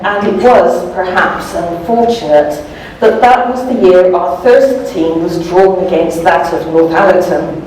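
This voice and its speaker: A woman acts out an elderly male scholar of a librarian for a storybook, eager and gleefully earnest, with quaintly formal phrasing. The delivery is unhurried, heard from a distance in a very echoey space. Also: high-pitched. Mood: gravely nostalgic. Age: older. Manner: earnest, gleeful, old-fashioned formal speech. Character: beaming, eager, eccentric old male librarian doctor.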